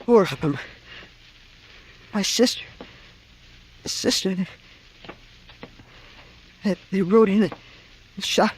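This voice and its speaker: Gasping, high-voiced wounded boy. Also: slow pace, pauses often, breathy edge, full character voice. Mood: desperate, dying. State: gasping, weak, in pain. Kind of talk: old-time radio drama acting.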